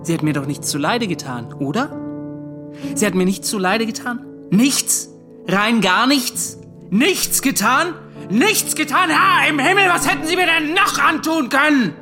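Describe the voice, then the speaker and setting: An actor playing a haunted young ship's officer, bitter and agitated, justifying himself in a radio drama monologue. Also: male voice, very high-pitched, expressive, measured pace, bright, forceful tone, faint music behind, exaggerated voice. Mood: outraged. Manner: agitated, self-justifying, rhetorical questioning.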